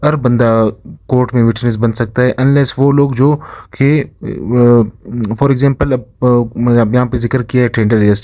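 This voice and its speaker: A man with a Pakistani accent giving an explanatory lesson as a lecturer, halting and often rephrasing himself mid-sentence. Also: medium pitch, warm tone. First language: Urdu. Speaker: law lecturer. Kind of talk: explanatory lesson, reading statutes aloud and explaining them.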